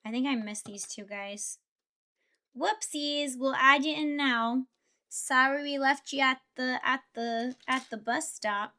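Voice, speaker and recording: A woman's voice: sits high, measured pace, bright, forceful tone. A young woman streamer chatting casually while building Lego, thinking aloud and answering her chat. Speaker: VTuber streamer.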